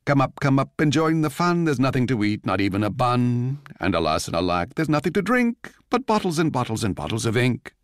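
A man playing an excitable, manic young composer in a radio drama, exclamatory and sing-song in his welcomes. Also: mid-range voice, a little fast, hardly any pauses, no room sound, studio quality, pitched above the performer's own voice, natural voice. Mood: manic, giddy.

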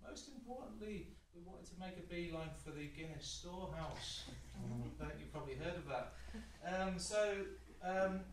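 A man's voice: sits high, expressive, moderate pace, slight background noise. A middle-aged Scottish-born man telling a chatty, rambling story to an audience as a spiritual medium, with restarts and laughs. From Scotland. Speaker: spiritual medium giving a talk. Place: large hall with a painted mural, indoors. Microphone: distant audience camera phone. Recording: echoey hall from far off.

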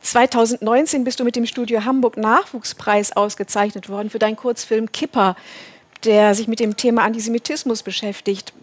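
Female talk-show host, middle-aged, slightly high register, conversational interviewer. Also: fairly even intonation, a little fast. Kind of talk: interview questioning. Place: indoor talk-show studio.

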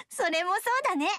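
A bubbly young ninja woman, sweet and eager, who looks after her people like a mother. Her voice is very high and bounces all over. The game studio recording is dry. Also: pushed and bright, no room sound, exaggerated voice. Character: cheerful young female ninja, protective mother type.

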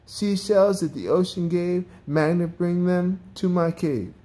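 Storyteller as a male packrat, children's storybook, greedy, excitable, theatrical, raised pitch. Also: a little slow, natural voice. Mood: excited, restless. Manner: exclamatory, theatrical, whiny when upset.

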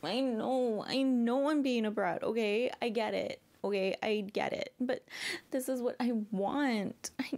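Bratty young girlfriend, acted by a woman, creaky and high. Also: measured pace, darkish tone. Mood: tearful pleading. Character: bratty pouting girlfriend begging her partner. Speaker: audio roleplay performer. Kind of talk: girlfriend roleplay ASMR.

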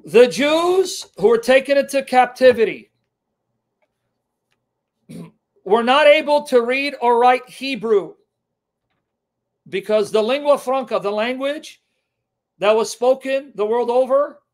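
High-voiced, emphatic man teaching on a live stream. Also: animated, takes it slowly, long pauses. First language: Syriac. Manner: emphatic and repetitive, drilling key terms. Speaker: online apologetics teacher. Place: home kitchen, indoors.

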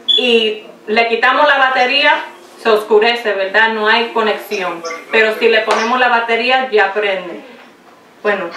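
Slow, halting woman preacher in echoey room. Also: on the high side, background noise. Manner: halting, searches for words, slips into English. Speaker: pastor's wife preaching. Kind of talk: Good Friday sermon. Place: small home room set up as a chapel, indoors. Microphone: far camera, no visible mic. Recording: echoey room from a far camera.

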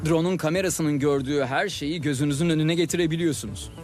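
A man playing a patient, calm teacher with a gently dry manner in an animated series. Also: a little high, quick pace, soft background music, lightly acted. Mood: patient, explaining. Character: adult male teacher and secret hero, patient, calm.

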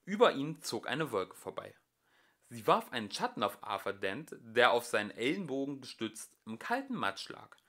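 A young man reading a novel aloud as an amateur audiobook narrator, with lively shifting emphasis. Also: mid-range voice, normal speed. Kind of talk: storybook narration.

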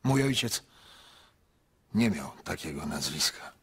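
A male actor playing a grown son in a radio drama, speaking in a measured, heavy, quietly pleading way. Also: rumbling low, sing-song, slightly rough, exaggerated voice. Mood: weary, pleading. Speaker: son narrating his story.

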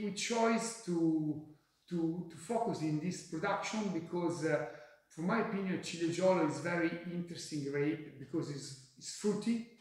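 Italian man, halting English, echoey room. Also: fairly high pitch. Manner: halting, searching for English words with many fillers. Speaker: winemaker. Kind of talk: interview answers. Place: bright kitchen-like tasting room, indoors.

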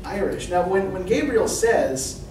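A man's voice: sits high, big pitch swings, a little slow, a little mellow. Lecturing man in an echoey classroom. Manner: conversational, asks questions, frequent "right?" checks. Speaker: literature professor. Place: classroom with whiteboard. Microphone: far camera at the back of the room. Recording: echoey classroom from far off, noisy.

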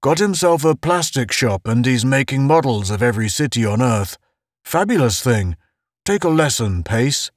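A man's voice: medium pitch, very animated, moderate pace, dry recording, clean studio sound. A man voicing an earnest, insistent, well-meaning space officer giving encouragement in an audiobook. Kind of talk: audiobook character voice.

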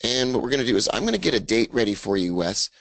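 A man with a raspy voice hosting a casual trading webinar, upbeat as he talks through his charts. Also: mid-range voice, sing-song, fast, no echo. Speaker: webinar host. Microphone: studio mic, screen share.

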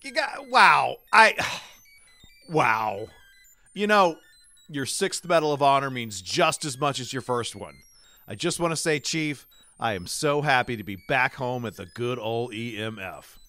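An actor playing a vain, self-satisfied secret agent in an audio comedy, showy and self-important. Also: male voice, mid-range voice, animated, unhurried, pushed and bright, no echo. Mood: smug. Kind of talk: audio comedy drama acting.

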